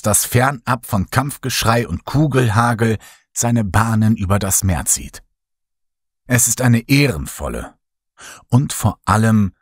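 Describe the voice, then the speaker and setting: Male horror narrator, slow and hushed, breathy timbre, dry booth sound. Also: fairly low pitch, no echo. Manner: slow, hushed, dramatic storytelling. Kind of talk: scripted horror audiobook narration.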